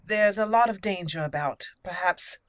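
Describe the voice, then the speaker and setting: A woman's voice: on the low side, moderate pace, dry recording. A woman giving chatty roleplay game commentary, thinking aloud and slipping into formal in-character lines. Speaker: gaming channel host and player. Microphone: close, dry microphone.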